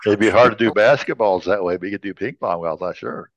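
A man's voice: fairly low pitch, fast, dry recording, dull audio. An American man co-hosting a podcast in casual chat, tossing in playful, joking asides. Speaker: podcast co-host. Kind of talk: casual chat and informal news retelling.

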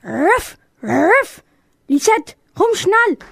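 Young girl as a high-voiced brave dog. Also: big pitch swings, drawn-out words, vocal fry, a bit bright, dry recording, clean studio sound, exaggerated voice. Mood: urgent. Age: young teenager. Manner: eager, barks out woofs. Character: strong, brave, helpful dog. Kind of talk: amateur radio play acting.

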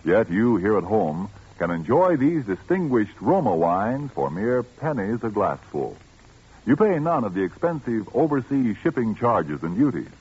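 Muffled old radio: male ad announcer, slightly rough voice, lively swooping delivery. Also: fairly low pitch, a little slow. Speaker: commercial announcer. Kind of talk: 1940s radio advertising read. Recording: muffled 1940s radio recording.

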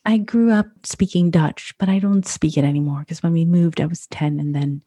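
A woman's voice: on the low side, steady tone, normal speed, mellow, slightly rough, dry recording. A woman guest on a podcast, talking casually about her family, laughing easily and repeating words as she goes. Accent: London English. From Curacao. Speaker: podcast guest, food photographer. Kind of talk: casual conversation.

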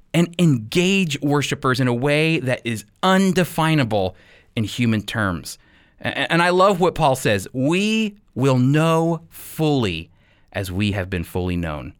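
A man teaching as a radio host in a scripted, sermon-like style, with emphatic, deliberate phrasing. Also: fairly high pitch, a bit bright, no echo, clean studio sound.

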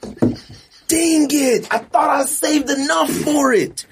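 A man's voice: very high voice, slow pace, background noise. A man hosting a casual podcast, joking and laughing often as he banters with friends, with slangy talk. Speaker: podcast host.

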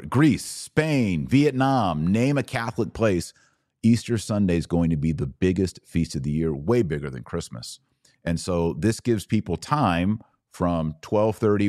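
A man's voice: sits low, a little mellow, no echo, clean studio sound. A man hosting a podcast, explaining religious practice in an informal, enthusiastic way and often answering his own questions. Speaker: podcast host.

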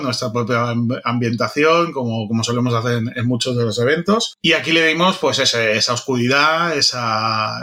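Man, Spain accent, bright forceful tone, mid pitch. Conversational guest recounting, halting with repeated words. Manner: halting, repeats words as they search for phrasing. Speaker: podcast guest, audio drama writer-director. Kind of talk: casual talk recounting an event.

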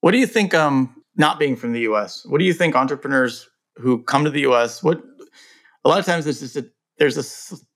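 Relaxed English-born host, rambling questions. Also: male voice, medium pitch, a little fast. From England. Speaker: podcast host. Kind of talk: casual interview talk.

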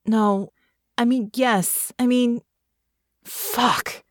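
Audiobook role: sharp-tongued, secretive demon-blooded woman, voiced by a female narrator, curt and sardonic, close dry sound. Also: fairly low pitch, very animated, measured pace, dry recording. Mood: flustered. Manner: muttering, hissing, sardonic, curt. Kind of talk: audiobook character dialogue.

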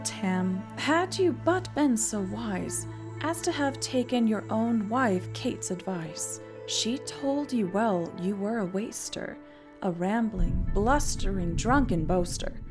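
A woman host tells stories and reads verse in a measured, scripted way. Her voice sits a little high, and music runs clearly behind her. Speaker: podcast host. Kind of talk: scripted narration and poetry reading.

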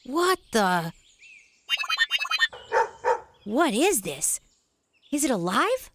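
A woman's voice: a little high, very animated, takes it slowly, loud music behind the voice. A female performer playing a cautious, sensible, skeptical young boy in a children's audio drama, earnest and worried.

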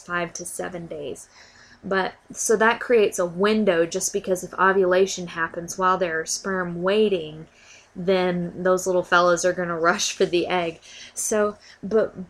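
A woman hosting a podcast, talking casually and a little stuffy from a cold, often repeating words as she thinks. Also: medium pitch. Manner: warm, informal, repeats words while thinking. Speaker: podcast host. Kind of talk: casual educational podcast talk.

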